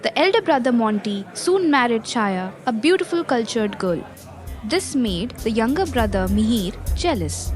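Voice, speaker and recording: A woman's voice: a little high, sing-song, normal speed, soft background music. A woman narrating a scripted moral story for listeners.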